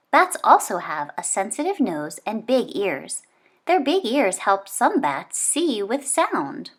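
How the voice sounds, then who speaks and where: A woman reading a children's nonfiction book aloud, expressively and with clear phrasing for young listeners. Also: medium pitch, fairly bright tone.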